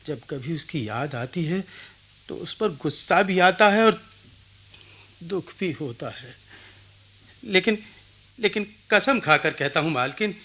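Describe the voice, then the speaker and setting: A man's voice: fairly high pitch, sharp tone, exaggerated voice. A man playing a proud, wounded village oil-seller in his forties, speaking emphatically in a Hindi radio drama.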